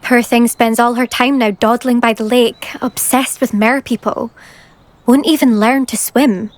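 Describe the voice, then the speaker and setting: A woman's voice: fairly high pitch, steady tone, a little slow, close to an everyday voice. A woman playing a boastful, brusque teenage British girl, a competitive broom racer in a video game. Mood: boastful, scornful. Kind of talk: video game character dialogue. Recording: game music behind. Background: game music.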